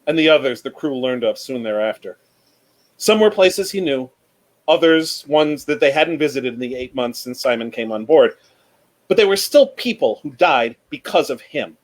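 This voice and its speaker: A man speaking as a panelist, chatting casually and reading book excerpts aloud in a measured, storytelling way. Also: medium pitch, expressive. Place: remote online panel from home.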